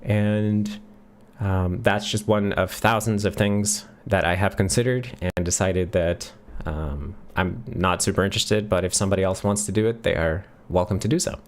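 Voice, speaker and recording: A middle-aged man with a Swedish accent answers viewer questions on a livestream, speaking in a fairly low voice. He is relaxed and unhurried, pausing with frequent ums as he thinks aloud. He is recorded close on a boom mic in a home office. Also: slight background noise. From Sweden. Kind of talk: casual live Q&A. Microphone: studio mic on a boom near the face.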